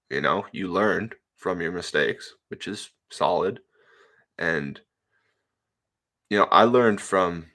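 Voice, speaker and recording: A man's voice: deep voice, a bit bright. A man in his early twenties chatting casually on a livestream, reading comments aloud and rambling with many fillers and frequent laughs. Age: 20s. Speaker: livestream host reading chat. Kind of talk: casual solo livestream chat.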